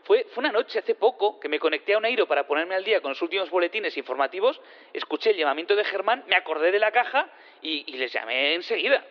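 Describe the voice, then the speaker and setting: An actor in an audio drama playing an eager space physicist on the witness stand, rambling, hesitant and repeating himself. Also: male voice, high-pitched, expressive, fast talker. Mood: rambling, apologetic. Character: rambling, eager male space physicist who teleports things. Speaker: witness in a courtroom. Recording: dull lo-fi sound in long reverb.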